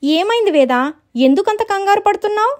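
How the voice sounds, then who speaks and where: Woman acting a high-voiced, resolute elder sister. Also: normal speed, darkish tone, lightly acted. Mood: concerned. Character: worried but resolute elder sister in a ghost story.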